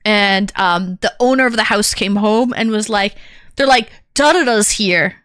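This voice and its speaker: A woman's voice: medium pitch, measured pace, fairly bright tone, no room sound, studio quality. A woman streamer telling a personal story to her chat, candid and rambling with lots of fillers. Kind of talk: casual chat to stream viewers.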